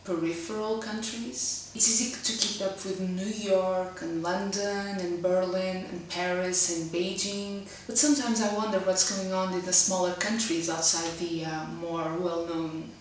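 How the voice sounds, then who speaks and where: A young Portuguese woman hosts her own web series in her second language, her Portuguese accent clear as she picks her words with small pauses. Her voice sits a little low. The sound is very echoey, with the mic set well back from her. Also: steady tone, a little hiss or hum. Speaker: host. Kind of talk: casual web series presenting. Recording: roomy echo, mic at a distance.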